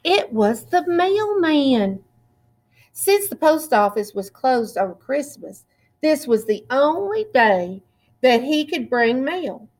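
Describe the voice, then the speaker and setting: Woman, storytime reader, slightly high pitch, very unhurried, expressive, drawn-out words, children's read-aloud. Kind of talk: read-aloud picture book narration for children.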